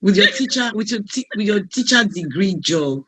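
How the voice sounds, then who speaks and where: A woman hosting a group call in a casual, excitable way, often repeating her phrases. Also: mid-range voice, moderate pace, slightly rough. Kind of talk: casual interviewing.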